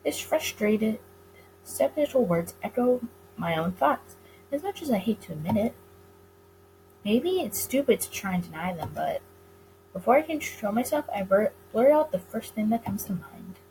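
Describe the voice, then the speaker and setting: A woman reading a visual novel aloud as dramatic narration for a gaming video, with expressive delivery. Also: a little high, normal speed, slight background noise, low-quality recording.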